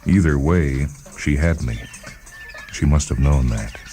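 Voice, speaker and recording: A man reading a first-person short story as a measured, deliberate dramatic narrator. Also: rumbling low, mellow, soft background music.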